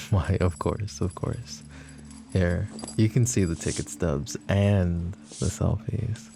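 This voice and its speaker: A man voicing a smug, flirtatious mafia boss who teases and taunts the woman questioning him, in soft-spoken roleplay ASMR. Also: deep voice, slow pace, vocal fry, background noise, exaggerated voice. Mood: smug teasing. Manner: teasing, taunting, calls her pet names.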